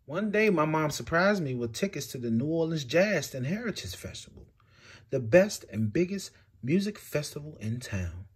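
A man reading a picture book aloud with expressive, swinging delivery. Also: moderate pace, breathy edge. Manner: expressive storytelling with big swings in pitch and loudness.

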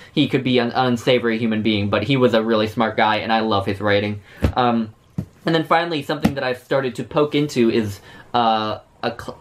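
A man in his thirties talking casually to camera about the books he is reading, with frequent fillers and restarts, in a small book-lined room. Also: mid-range voice. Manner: chatty, many fillers and restarts. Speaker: book vlogger.

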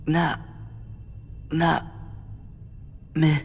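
A man's voice: fairly high pitch, little pitch movement, extremely slow, gravelly, breathy edge, exaggerated voice. An actor voicing an earnest teenage boy hero in a game who speaks hesitantly, groping for words. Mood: confused.

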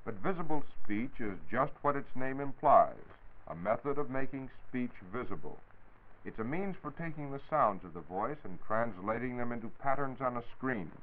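A man's voice: mid-range voice, expressive, moderate pace. An American man giving a formal, precise scripted explanation as a 1940s radio science reporter. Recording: tinny, phone-like 1940s radio recording.

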